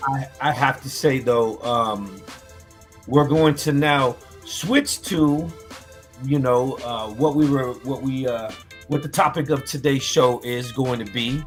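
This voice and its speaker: A man in his own loose, animated way, chatting about music as a co-host. His pitch sits in the middle for a man, and faint music plays softly behind him. He often breaks off and restarts his thoughts. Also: slow pace. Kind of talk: casual chat.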